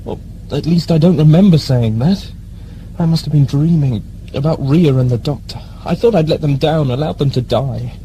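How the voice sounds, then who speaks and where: A man's voice: fairly high pitch, moderate pace, mellow, a little hiss or hum, lightly acted, poor audio. Man playing an earnest, hesitant stowaway. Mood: defensive. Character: earnest, unsure male stowaway under suspicion. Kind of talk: audio drama acting.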